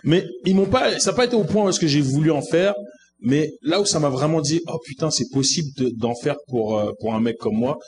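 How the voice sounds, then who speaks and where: A man's voice: fairly high pitch, lively intonation, a little fast, a little mellow, a little hiss or hum. A man from France with a French accent, chatting casually and hesitantly as a guest comedian. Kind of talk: casual podcast chat.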